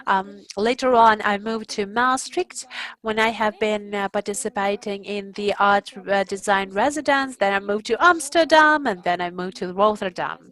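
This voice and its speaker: A woman from Ukraine, an artist and designer giving a prepared talk to an audience. She speaks earnestly and pauses to search for words. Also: medium pitch, fairly bright tone, low-quality recording.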